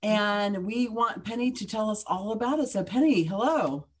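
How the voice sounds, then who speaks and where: A woman hosting a podcast who welcomes her guest and asks questions in a casual, friendly way, with quick asides. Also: medium pitch, lively intonation, slightly rough. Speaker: podcast host.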